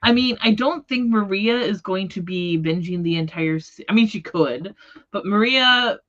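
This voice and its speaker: A woman's voice: mid-range voice, moderate pace, a bit bright, dull audio. A woman hosting a casual book discussion, talking informally and often correcting herself mid-thought. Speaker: book readalong livestream host. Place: online livestream.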